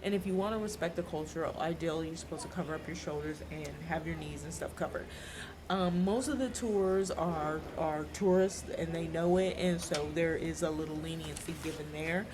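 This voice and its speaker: A woman's voice: low-pitched, normal speed. American woman chatting casually, noisy background. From United States. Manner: informal, emphatic, advisory. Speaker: travel vlogger. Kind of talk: casual travel advice chat to camera. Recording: clear background ambient noise.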